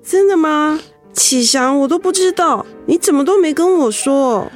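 An actress in a Mandarin radio drama playing a vulnerable young wife, earnest, emotional and confiding. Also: female voice, high voice, lively intonation, normal speed, soft background music. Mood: tender, reassuring. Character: vulnerable young wife holding back tears after illness.

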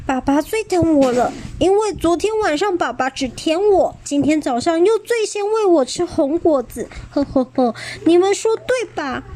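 A woman's voice: very high voice, animated, normal speed, hardly any pauses, warm tone, a little hiss or hum, voice pushed up. A woman voicing a boastful, spoiled baby ankylosaur in a children's story, talking in a smug, childish way.

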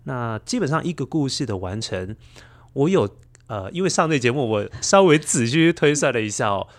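A middle-aged man with a Taiwanese Mandarin accent explains his work in a measured, reflective way as an interview guest. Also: medium pitch, expressive. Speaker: podcast guest, children's storyteller and radio DJ. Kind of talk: interview answers, explaining behind-the-scenes work.

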